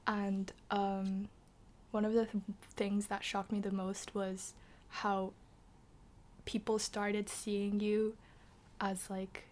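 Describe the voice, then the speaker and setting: A woman's voice: mid-range voice, little pitch movement, slow pace, long pauses. A young woman in her late teens from Macau, talking with a slightly British-tinged accent. She chats casually as a guest, piling up fillers, and often lets her phrases fade into a near whisper. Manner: chatty, filler-heavy, trails into soft half-whispers. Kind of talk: casual chat.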